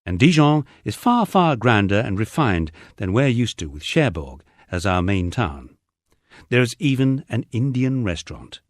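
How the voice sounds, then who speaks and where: A man's voice: fairly low pitch, very animated, unhurried, no echo, studio quality. A middle-aged man with an English accent reading a first-person memoir as a scripted narration. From England.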